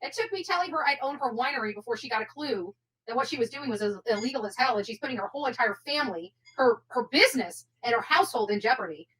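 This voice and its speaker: A woman's voice: fairly high pitch, expressive, quick pace, a bit bright, low-quality recording. A woman chatting casually as a livestream guest, with a blunt, boastful way of talking that includes swearing.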